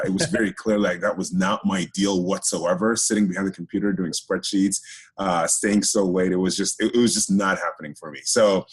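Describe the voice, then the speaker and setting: A man's voice: low-pitched, slightly rough. A middle-aged man who grew up in Ghana and Greece, talking chattily as a guest with many restarts. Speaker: guest, HR director. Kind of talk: casual interview talk.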